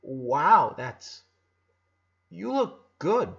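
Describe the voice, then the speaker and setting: A man playing a guarded, husky young girl. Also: a little high, very animated, extremely slow, fairly bright tone, muffled recording, voice pushed up, exaggerated voice. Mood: stunned, tentative. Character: guarded young girl, tough but caring friend.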